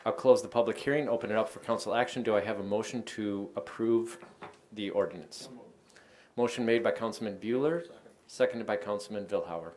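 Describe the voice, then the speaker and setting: An American man speaking as a mayor, running formal council procedure in a brisk, businesslike way. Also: fairly low pitch, darkish tone. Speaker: mayor chairing a city council meeting.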